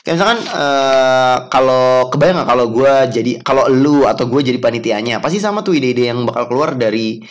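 A man's voice: medium pitch, moderate pace. Chatty young man podcasting. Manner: chatty, self-mocking, frequent fillers and laughs. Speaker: podcast host. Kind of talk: casual podcast monologue.

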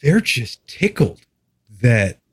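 A man's voice: mid-range voice, sing-song, slow, slightly rough, no echo, clean studio sound. A man from Texas chatting casually as a podcast guest, with a candid, wry, casually profane way of talking. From Texas, United States. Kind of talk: casual interview chat.

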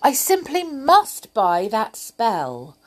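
A woman's voice: a little high, huge pitch swings, slow, pitched above the performer's own voice, exaggerated voice. A woman playing an eager old peddler man. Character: excitable old male saucepan peddler.

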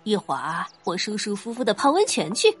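An actress playing a detective's middle-aged wife in an audio drama, nagging and teasing with exasperated, drawn-out emphasis. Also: female voice, medium pitch, wildly swooping pitch. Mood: dismissive. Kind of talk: multi-cast audio drama acting.

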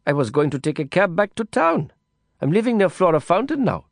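A man's voice: sits high, very animated, brisk, gravelly, no echo, clean studio sound, pitched above the performer's own voice, full character voice. A man voicing a brash young female city reporter, sassy and profane. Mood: wary.

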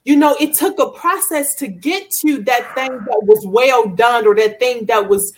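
A woman's voice: on the high side, a little slow. A young woman speaking as a guest author in a conversational, inspirational style, earnest and preacherly, repeating phrases for emphasis. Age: young adult.

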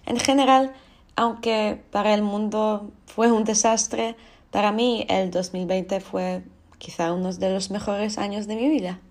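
A young woman, a student and teacher, reading a personal essay aloud in an even, composed way. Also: medium pitch, measured pace, a little hiss or hum.

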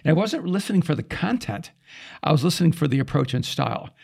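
A middle-aged man from El Salvador, a pastor whose first language is Spanish, telling animated stories in casual conversation. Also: on the high side, fast, gravelly, husky. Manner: animated storyteller with quoted asides. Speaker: pastor and podcast guest.

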